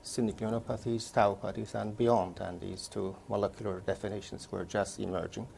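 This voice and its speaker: A man in his sixties from Turkey, recounting his career in a measured, step-by-step way during an oral history interview. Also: on the low side, flat delivery, gravelly, a little mellow, a little hiss or hum. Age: 60s. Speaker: interviewee, neurologist.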